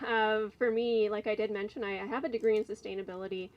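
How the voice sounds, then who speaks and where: A woman in her thirties, a council candidate, giving a measured, polished speech with some fillers into a mic in an outdoor tent. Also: medium pitch, steady tone, lo-fi sound. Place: outdoor tent at a community forum. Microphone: mic on a stand.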